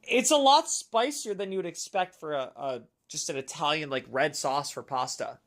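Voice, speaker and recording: A woman gaming streamer with a deep voice for a woman, chatting casually and rambling with lots of fillers while she plays. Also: expressive, moderate pace. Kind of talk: casual chat while playing.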